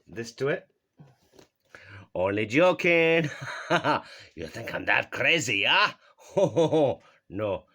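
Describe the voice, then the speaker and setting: Slow, low-voiced Yorkshire man joking. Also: sharp tone. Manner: jokey and self-mocking, chuckling between lines. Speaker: joke cooking video host. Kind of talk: mock cooking show commentary. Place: home kitchen.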